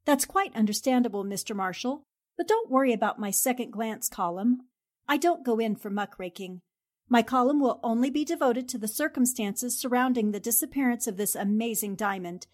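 A woman plays a confident, tactful newspaperwoman in a vintage 1940s radio play. Her voice sits a little high, and she speaks in polite, composed, articulate sentences. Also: animated, fairly quick, a little mellow. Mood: polite, reassuring. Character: poised, tactful, confident female newspaper columnist. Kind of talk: 1940s radio drama acting.

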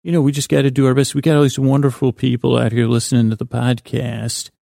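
Very creaky, soothing man, rambling softly. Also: medium pitch, flat delivery, no echo, clean studio sound. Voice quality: creaky, gravelly. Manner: meandering, halting, self-interrupting; lulling, gentle delivery. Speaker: podcast host. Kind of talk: rambling, soothing bedtime monologue.

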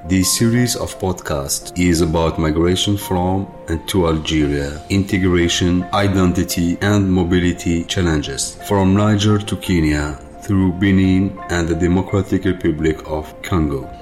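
A man with a very deep voice reads a scripted podcast introduction. He takes it slowly and deliberately. Faint music plays underneath. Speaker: podcast narrator. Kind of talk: scripted narration.

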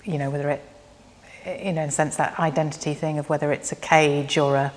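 A woman with a low voice and very little rise and fall talks as an interviewer in a reverberant room. She is reflective and conversational, rephrasing as she thinks aloud. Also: level tone, brisk, a little mellow. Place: reverberant indoor library room.

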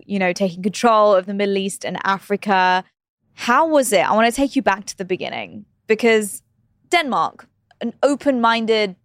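A woman's voice: mid-range voice, no room sound, clean studio sound. Enthusiastic woman podcast host. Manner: enthusiastic, effusive, laughs often. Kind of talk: podcast interview hosting.

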